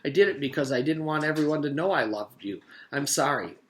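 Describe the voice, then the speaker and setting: A man's voice: on the high side, animated, fairly quick, pitched above the performer's own voice, close to an everyday voice. A man voicing an earnest, helpful schoolboy who is easily flustered, in a children's storybook read-aloud. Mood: earnest, sorry. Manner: eager, indignant, easily flustered.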